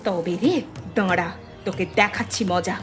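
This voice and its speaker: A woman's voice: lowish voice, normal speed, fairly bright tone, soft background music, pitched below the performer's own voice, lightly acted. A woman voicing a feisty, defiant poor village wife in a cartoon folk tale. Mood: alarmed.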